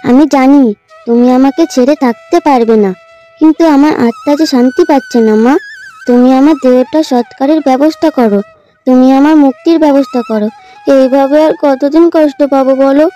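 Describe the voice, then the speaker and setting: A woman voicing a calm, innocent little ghost girl pleading softly and slowly in a dramatized horror story. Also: high-pitched, close to an everyday voice. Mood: sad, calm. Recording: dull lo-fi audio over music.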